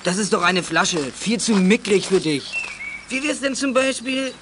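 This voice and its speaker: A man's voice: high-pitched, expressive, moderate pace, noisy background. A man playing a swaggering, mocking teenage bully in a children's audio drama, with a mannered, menacing way of talking. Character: sneering, swaggering teenage bully boy, sixteen.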